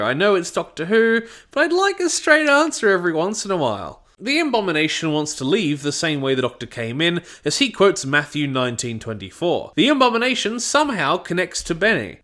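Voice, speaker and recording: A man's voice: a little high, bright, forceful tone. A man narrating a scripted review, with wry and opinionated asides. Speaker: audio drama reviewer.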